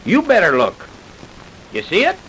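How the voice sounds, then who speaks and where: A man's voice: high voice, huge pitch swings, normal speed, fairly bright tone, exaggerated voice, rough recording. A man playing a sceptical, homespun small-town marshal in an old-time radio drama, with colloquial talk. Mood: sceptical, folksy. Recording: hissy vintage radio recording.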